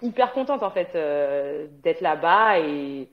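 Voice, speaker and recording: Woman guest from Guadeloupe, slightly low voice, chatty and hesitant, lo-fi recording. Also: expressive, slow. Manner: chatty, frequent hesitations and laughter. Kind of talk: casual conversational interview. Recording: dull lo-fi sound.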